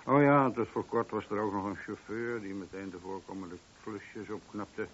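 Low-voiced wry police sergeant. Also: male voice, fairly even intonation, normal speed, husky. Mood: wry, informative. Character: wry, informal police sergeant briefing his superior. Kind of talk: radio play acting. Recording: dull, lo-fi old radio recording.

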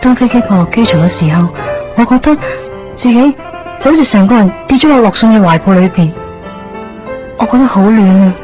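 A woman speaks in a soft, wistful inner monologue, her words laid over a clear music bed. The broadcast sound is slightly dull. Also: a little high, lively intonation, fairly quick, long pauses. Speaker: narrator and lead character. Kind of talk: 1990s radio drama narration.

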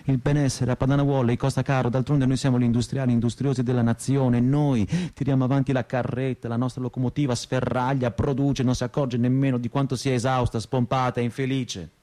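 A man's voice: mid-range voice, level tone, a little fast, few pauses, dark tone, natural voice. Guy acting a thirty-something stoner student, sardonic radio play monologue, mid voice, swings from mutter to outburst. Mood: bitter, declamatory. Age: 30s. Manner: sarcastic, slangy, declamatory, swinging between mutter and outburst. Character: restless, sardonic 35-year-old provincial student pot-smoker telling his story. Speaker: stage actor, monologue performer.

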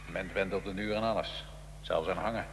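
Deep-voiced, wry Italian revolutionary prisoner. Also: male voice, fairly even intonation, brisk, creaky, a bit bright, slight background noise. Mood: quiet, dry. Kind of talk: radio drama acting, interview-like storytelling.

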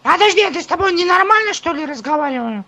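A woman doing a very high, bright-toned caller with a strange mock accent. She sounds touchy and indignant, cuts in and repeats herself. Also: expressive, quick pace, full character voice. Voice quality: put-on odd voice with a mock accent. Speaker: prank caller. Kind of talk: prank phone call.

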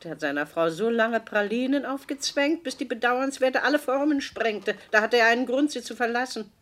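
A woman playing a brisk, unsentimental, practical accomplice in a radio play, with a commanding, matter-of-fact manner. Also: fairly high pitch, lively intonation, fairly bright tone. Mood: impatient. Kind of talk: German radio play acting.

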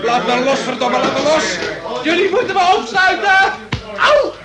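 An actor playing an agitated, guilt-ridden son in a radio play, blunt and protesting in an exasperated way. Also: male voice, extremely high pitch, very animated, moderate pace, loud music behind the voice. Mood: agitated, desperate. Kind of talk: Dutch radio play acting. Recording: echoey room, distant, busy voices behind.

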